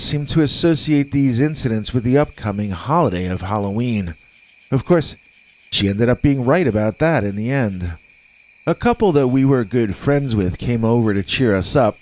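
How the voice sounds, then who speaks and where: A man narrating a scripted first-person scary story in a measured, conversational storytelling manner. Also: mid-range voice, a little mellow, low-quality recording.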